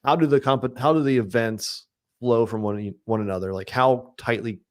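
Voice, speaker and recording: A man hosting a casual podcast chat, thinking out loud about an upcoming event. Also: medium pitch, moderate pace. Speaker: podcast host.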